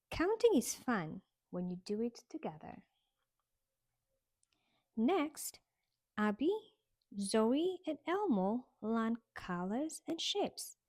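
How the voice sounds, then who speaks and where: Woman, children's storybook reader, slightly high voice, very animated sing-song delivery, read-aloud style.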